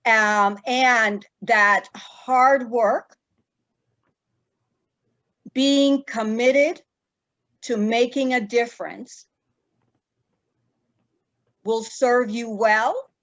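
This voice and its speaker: A woman in her fifties from Jamaica, an attorney answering interview questions in a measured way with many fillers and pauses. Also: on the high side, a bit bright, dry recording, highs cut off.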